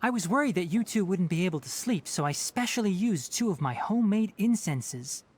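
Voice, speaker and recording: A man voicing a calm, principled young male forest ranger and former scholar in a video game, explaining things in a measured, gently lecturing way. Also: sits high, slight background noise.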